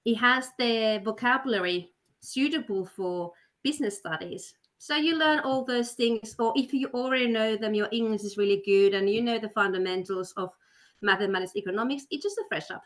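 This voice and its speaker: A woman's voice: fairly high pitch, moderate pace, a bit bright. Upbeat woman hosting a webinar. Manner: upbeat, persuasive, with many fillers. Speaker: webinar host, study counselor. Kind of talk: webinar presentation. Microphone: video call.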